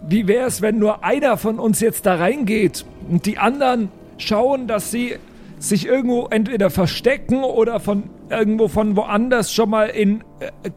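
A man's voice: sits high, normal speed, a little mellow, soft background music. A man talking casually as a player in an improvised tabletop role-playing game, planning with the group. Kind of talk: casual in-character planning talk.

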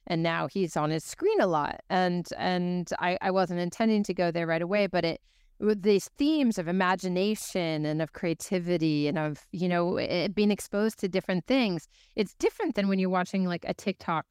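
A woman's voice: fairly low pitch, moderate pace, a little mellow, clear, resonant tone, dry recording, clean studio sound. An American woman hosting an interview show in an upbeat, conversational way. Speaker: host.

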